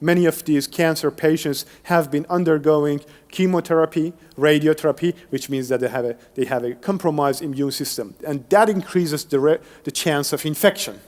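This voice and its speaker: A man's voice: a little high, normal speed, few pauses. A male engineer who grew up speaking Persian, giving an explanatory academic lecture with occasional restarts. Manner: explanatory, steady, some restarts. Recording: long hall reverb, faint music.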